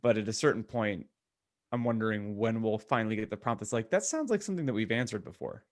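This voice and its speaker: A man's voice: fairly low pitch, expressive, quick pace. A man chatting casually as a co-host on a podcast.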